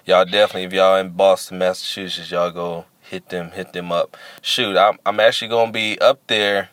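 A man's voice: sits low, flat delivery, fairly quick, fairly bright tone, no room sound. A man hosting a casual podcast chat, playful and slangy, who often repeats his phrases. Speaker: podcast host.

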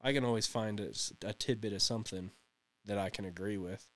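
A man's voice: lowish voice, a little fast. A man in his late thirties co-hosting a casual podcast, rambling and laughing often as he chats. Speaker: podcast co-host. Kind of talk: casual chat.

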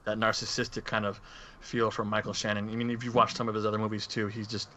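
A man's voice: lowish voice, level tone, fast talker, pushed and bright. A man co-hosting a podcast, casually discussing movies with others. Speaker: podcast co-host. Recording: muffled audio with clear background noise.

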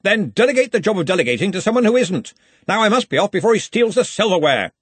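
A man's voice: on the high side, big pitch swings, normal speed, slightly rough, no echo, pitched above the performer's own voice. A man voicing a pompous, commanding older wizard who runs a college, in an audiobook. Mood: blustering, impatient. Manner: blustering, bellowing, commanding. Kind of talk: audiobook character acting.

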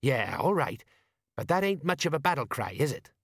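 A man's voice: mid-range voice, sing-song, moderate pace, dry recording, clean studio sound, voice pushed up. A man voicing a reluctant older sergeant, blustering and grumbling as he goes. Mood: sarcastic.

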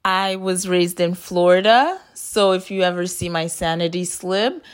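Young woman, podcast host, lower voice, chatty and self-deprecating solo talk. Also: unhurried, clear, resonant tone. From Haiti. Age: young adult. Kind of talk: casual solo podcast monologue.

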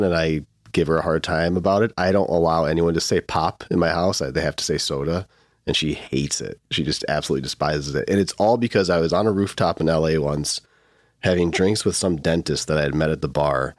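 A man's voice: rumbling low, brisk, no echo, clean studio sound. A man with a Midwestern accent from Michigan hosting a casual legal podcast, easygoing and joking. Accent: Midwestern American. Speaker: podcast host.

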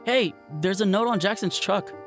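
A man's voice: high-pitched, normal speed, soft background music. An actor playing a hurt but stoic, plain-spoken young countryman in an audio drama, in an understated way. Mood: subdued.

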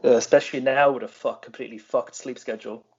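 A man's voice: medium pitch, fairly even intonation, fast talker, slightly rough. A man hosting a casual chat, asking questions while swearing constantly and teasing his guest. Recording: dull lo-fi recording.

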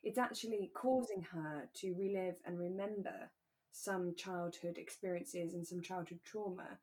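A woman co-hosting a relaxed conversational podcast, talking in a candid, reflective and careful way. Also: on the low side, a little slow. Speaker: podcast co-host.

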